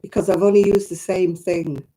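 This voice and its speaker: Croaky older woman chatting. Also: fairly low pitch, level tone, moderate pace, mellow. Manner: chatty, rambling, greets viewers. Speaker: crafting livestream host. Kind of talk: casual chat to live viewers. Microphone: own simple mic, live stream. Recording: crackly audio.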